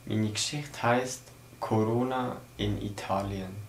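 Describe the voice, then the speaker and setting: A man's voice: fairly low pitch, steady tone, slow pace, a little hiss or hum, rough recording. Teenage boy reading a story aloud. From Zug, Switzerland. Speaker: student reading own story. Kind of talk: scripted story narration, read aloud. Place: small indoor room against a plain wall.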